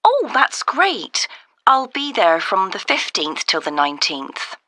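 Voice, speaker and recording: A woman's voice: medium pitch, huge pitch swings, takes it slowly, bright, forceful tone, no room sound, exaggerated voice. A woman playing a friendly, enthusiastic holidaymaker making a polite enquiry in a scripted listening-test phone dialogue. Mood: keen, friendly. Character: keen, friendly woman caller planning a holiday.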